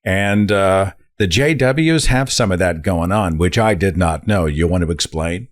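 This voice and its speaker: A man hosting a podcast interview, asking questions in an animated, conversational way. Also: low-pitched, unhurried. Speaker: podcast host.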